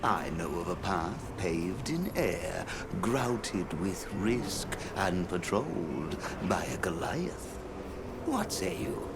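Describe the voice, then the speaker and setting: A man voicing a calm, cryptic guide creature in a video game, speaking in a formal, measured, riddling way. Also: low voice, heavy background noise, heavily acted. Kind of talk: video game cutscene acting.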